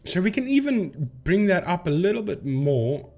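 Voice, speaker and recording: A man's voice: high-pitched, big pitch swings, moderate pace, background noise. A man hosting a casual explainer video, talking informally to viewers and thinking aloud as he demonstrates. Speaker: video host, paranormal debunker.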